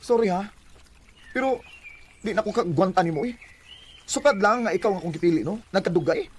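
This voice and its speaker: Emosyonal nga bayot sa dramang Bisaya, may gamayng saba. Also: male voice, high voice, expressive, brisk. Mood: exasperated. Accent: Cebuano Bisaya. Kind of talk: Bisaya radio drama acting. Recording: faint background noise.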